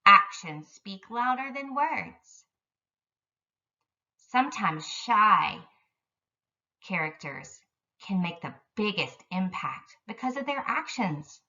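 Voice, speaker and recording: A woman teaches young children in careful, simple phrases at an unhurried pace. Her delivery swings up and down in pitch. The recording is a little dull, with the mic at some distance. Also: big pitch swings, pushed and bright. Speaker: elementary school teacher. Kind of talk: instructional video lesson for young children.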